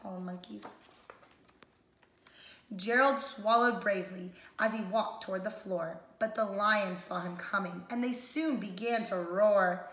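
A woman's voice: medium pitch, unhurried, fairly bright tone. A young woman reading a picture book aloud to young children, adding simple explanatory asides. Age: young adult. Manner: simple, child-directed phrasing. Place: indoors, living room on a leather couch. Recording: roomy, echoey home recording.

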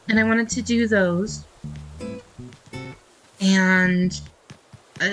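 Female game streamer, thirties, mid-range voice, relaxed rambling chat that thinks out loud, over soft guitar music. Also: mid-range voice, rough recording. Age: 30s. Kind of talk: casual chat while playing a game.

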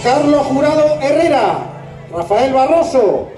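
A high-pitched finish-line announcer works the PA at an outdoor race. Names are drawn out with emphasis and applause is called for. The voice echoes, with music and a crowd behind it. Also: animated, unhurried, warm tone. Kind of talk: live sports announcing over a PA.

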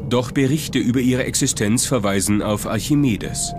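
A man's voice: mid-range voice, moderate pace, background noise. A man reading scripted documentary narration as the narrator. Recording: music under the voice. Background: prominent music.

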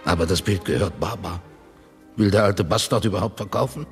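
Deep, brooding skeptical man. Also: a little fast, soft background music. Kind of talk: radio drama acting.

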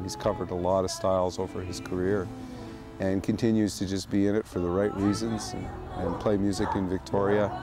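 A middle-aged man speaks in a very low, plain voice outdoors. He talks with easy fillers between thoughts. Loud music runs behind him. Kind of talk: interview. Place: outdoors among trees.

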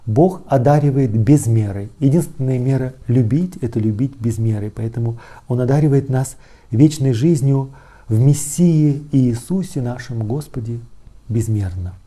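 A middle-aged man gives a reflective Bible commentary as a priest. His voice is very dark and relaxed, with a husky grain and an average male pitch. He moves through the text phrase by phrase, measured and explanatory. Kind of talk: expository religious teaching, reading and explaining scripture. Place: small indoor study with bookshelves.

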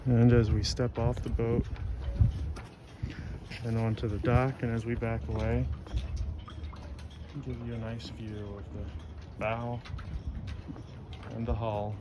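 Slow, flat-voiced man touring a boat. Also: mid-range voice, muffled recording, background noise. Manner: slow, steady, plain listing of features. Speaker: boat broker giving a walkthrough. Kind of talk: narrated walkthrough tour. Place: aboard a sailboat at a marina dock, indoors and out.

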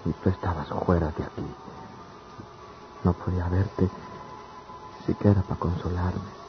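A poor young country man in love, anguished and plaintive. His voice is low and very slow, with rustic speech. It sounds like a dull old radio drama recording. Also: little pitch movement, background noise. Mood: sorrowful. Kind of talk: radionovela acting.

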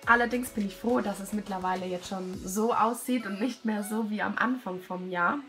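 A hoarse young woman with a cold, talking casually and self-critically over a video of her riding. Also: medium pitch, moderate pace, a bit bright, soft background music. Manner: self-critical, chatty, many fillers. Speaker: rider commenting her own show-jumping test video. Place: at home, voice-over.

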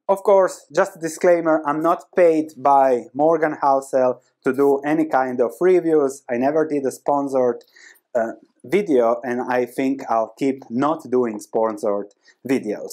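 A man in his thirties with a Croatian accent, a native Croatian speaker, giving an animated, conversational explanation to camera with emphatic repetition. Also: on the high side. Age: 30s. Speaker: host of an investing video channel. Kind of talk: explanatory book summary to camera. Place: small indoor room with bookshelves. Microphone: lapel mic.

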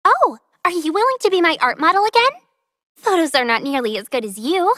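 Very high-voiced playful young artist girl. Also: very animated, fairly quick, fairly bright tone, dry recording, studio quality, heavily acted. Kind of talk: video game character voice lines.

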